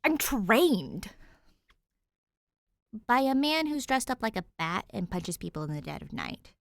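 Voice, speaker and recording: A woman's voice: a little high, big pitch swings, unhurried, gravelly, dry recording, pitched above the performer's own voice, heavily acted. A woman voicing a cheeky, reckless teenage girl, playful and mischievous, in an audiobook story. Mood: teasing, flippant. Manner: mischievous, flippant, giggly. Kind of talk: audiobook character dialogue.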